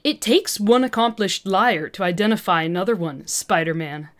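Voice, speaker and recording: A woman's voice: medium pitch, normal speed, close to an everyday voice. A woman voicing an arrogant, agitated woman scientist villain with an intense, condescending manner in a fan fiction reading. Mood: coolly accusing.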